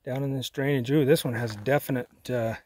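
A man's voice: medium pitch, fairly even intonation, fairly quick, darkish tone. Middle-aged guy hiking and chatting, points stuff out, faint hiss outdoors. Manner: chatty, easily impressed, pointing things out. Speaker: vlogger. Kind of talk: casual hiking commentary. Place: outdoors on a rocky desert trail.

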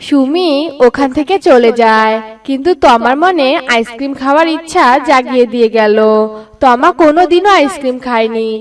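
A woman's voice: sits high, normal speed, far from the mic. A woman narrating a scripted children's cartoon story.

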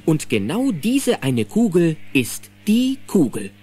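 Animated male storyteller. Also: fairly high pitch, takes it slowly, husky. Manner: vivid, suspenseful present-tense telling. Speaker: narrator. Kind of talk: dramatic scripted storytelling in German.

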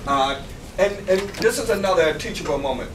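A man makes quick, informal announcements at a meeting, his voice a bit higher than usual for a man. He is far from the camera, so he sounds roomy and distant, with clear background noise. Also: lively intonation. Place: meeting room, indoors.

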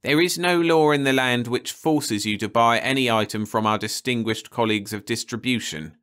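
A man's voice: mid-range voice, normal speed, a bit bright, smooth, pitched above the performer's own voice, close to an everyday voice. A man voicing a stern, pompous older judge who lectures in a clipped, officious way. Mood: lecturing.

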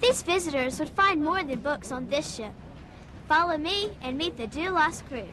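A woman's voice: high voice, expressive, normal speed, bright, forceful tone, a little hiss or hum. A woman narrating a children's program in scripted voice-over, chatty and kid-friendly. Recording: voice-over over background music.

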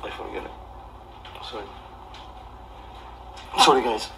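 A man in his thirties with an Egyptian accent, ranting off the cuff on a livestream in a defensive, halting, repetitive way. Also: medium pitch, vocal fry, pushed and bright. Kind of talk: off-the-cuff livestream rant to camera. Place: small living room indoors. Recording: distant mic with background noise.

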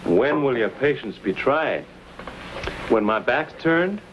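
A bold, wry young frontiersman in an old Western, easygoing and defiant. His pitch moves a lot, on a dull, hissy vintage film soundtrack. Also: lively intonation, slow. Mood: challenging. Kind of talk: 1950s Western screen acting.

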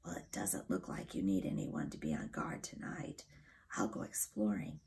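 A woman's voice: a bass voice, very animated, moderate pace, vocal fry, a little mellow, pitched below the performer's own voice, heavily acted. A woman voicing a stern little male dormouse who talks in a hissing whisper. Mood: grumpy.

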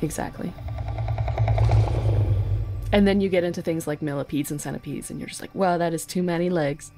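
A woman's voice: on the low side, fairly quick, dark tone. A woman, a podcast guest, explaining things in a casual, nerdy conversational way. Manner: self-aware, nerdy explaining. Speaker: podcast guest, insect research technician. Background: background music.

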